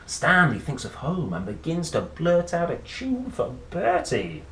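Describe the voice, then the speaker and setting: A man reads a picture book to children with playful, swooping expression and an unhurried pace. His delivery is animated, slipping into chatty asides to the listener. The room adds a clear echo around his voice. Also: fairly high pitch. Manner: playful, expressive, dramatic pauses and exclamations. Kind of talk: animated storybook read-aloud with chatty asides. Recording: roomy echo, faint background noise.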